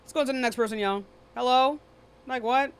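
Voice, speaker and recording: A woman's voice: high-pitched, brisk, smooth. A woman hosting a live debate stream in a casual, blunt, dismissive and often profane way. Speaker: livestream debate host.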